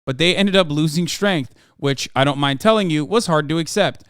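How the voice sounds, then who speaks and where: A man's voice: on the high side, moderate pace, fairly bright tone, clean tone, no room sound, studio quality. A man voicing a frank, wry Icelandic entrepreneur with a put-on Icelandic accent, reading a written statement aloud. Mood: earnest.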